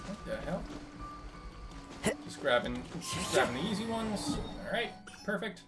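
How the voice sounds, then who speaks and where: A video game streamer talks casually through live play in a medium-pitched voice. The talk is chatty, often answering the characters directly, with clear game music underneath. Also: sing-song, measured pace.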